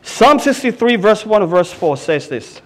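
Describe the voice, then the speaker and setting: A middle-aged man preaching at a lectern in a small church, swinging between loud cries and hushed prayer. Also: high-pitched, big pitch swings, normal speed, rough recording. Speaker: pastor. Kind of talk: sermon. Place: small church hall, indoors. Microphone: stand mic at a lectern.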